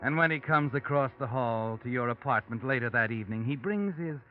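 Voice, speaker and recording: Male actor as ominous, taunting noir storyteller, highly animated, over music on a muffled vintage radio recording. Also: fairly quick, natural voice. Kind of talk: 1940s radio mystery narration.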